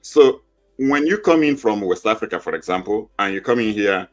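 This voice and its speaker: Deep-voiced man explaining. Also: lively intonation, moderate pace, faint music behind. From Nigeria. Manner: explains with concrete examples, emphatic. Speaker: panelist, teacher of newcomer classes. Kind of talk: explanatory panel talk.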